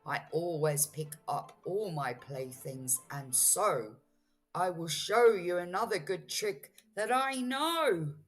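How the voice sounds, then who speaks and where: A woman voicing a breezy, mischievous cat in a children's storybook read-aloud, coaxing and reassuring with drawn-out words. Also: on the low side, expressive, faint music behind. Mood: breezy confidence.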